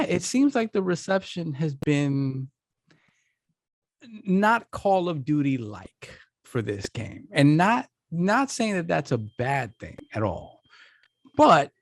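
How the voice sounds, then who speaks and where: A tired man with a gravelly, worn-out voice, co-hosting a casual gaming podcast and thinking aloud with many restarts. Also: fairly high pitch, no room sound, clean studio sound. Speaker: podcast co-host.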